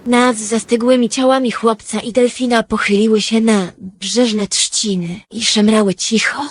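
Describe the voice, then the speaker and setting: A woman playing a wistful dreamer, narrating in an expressive literary storytelling manner for an audio drama. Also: a little high, measured pace. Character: Lady Number Two, wistful female dreamer telling her dream.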